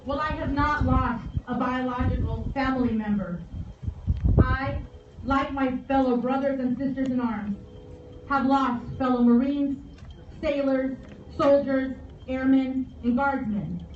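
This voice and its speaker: High-pitched American woman giving a solemn speech. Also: recorded from a distance. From San Antonio, Texas, United States. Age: 40s. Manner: solemn, measured phrasing. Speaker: guest speaker, veterans service officer. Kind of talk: formal memorial speech. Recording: echoey outdoor PA from afar, dull, faint noise.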